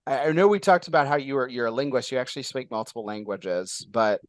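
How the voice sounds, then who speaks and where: Male podcast host, excitable, rambling, mid-pitched voice, dry close sound. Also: quick pace, no echo. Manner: excitable, rambling, many fillers and restarts. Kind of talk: casual interview chat.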